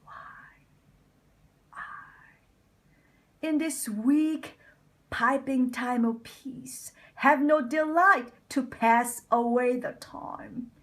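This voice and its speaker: Slow, high-voiced woman reciting Shakespeare. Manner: deliberate, dramatic, with breathy whispered phrase endings. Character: bitter, scheming deformed nobleman plotting villainy. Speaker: amateur Shakespeare reader.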